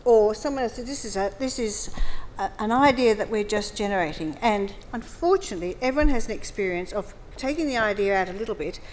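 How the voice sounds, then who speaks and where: A woman panelist speaks quickly and emphatically. She is forthright and conversational, with a faint room ambience behind her. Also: mid-range voice, expressive, darkish tone, slight background noise, rough recording. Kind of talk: conference panel remarks.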